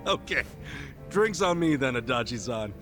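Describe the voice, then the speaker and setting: Guy doing an easygoing blunt american drinking buddy, game dub, pitch jumps around a lot, bit of music under. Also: moderate pace, close to an everyday voice. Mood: upbeat. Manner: casual, blunt, expressive. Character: earnest, easygoing, streetwise man, loyal drinking buddy. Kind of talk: dubbed video game cutscene acting.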